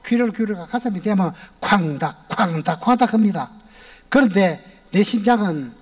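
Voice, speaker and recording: An older man speaks with a Gyeongsang accent, a retired elder sharing his testimony in a large church sanctuary. His voice sits high for a man yet sounds mellow and warm. His storytelling is plain and homespun, with a little music in the background. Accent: Gyeongsang Korean. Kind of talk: personal testimony to a congregation.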